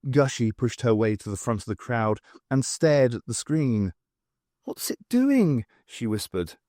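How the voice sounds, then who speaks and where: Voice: medium pitch, moderate pace, warm tone, no room sound, studio quality. An audiobook narrator reading scripted fiction.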